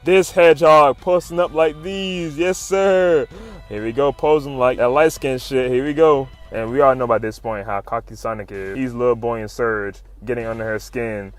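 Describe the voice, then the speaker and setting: A man narrates in a casual hype style, full of slang, swearing and fannish exclamations. His pitch sits in the middle range, and music plays faintly behind him as he recaps. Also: steady tone, moderate pace. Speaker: YouTube comic recap narrator. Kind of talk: casual hype commentary, retelling comic fights. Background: music under the voice-over.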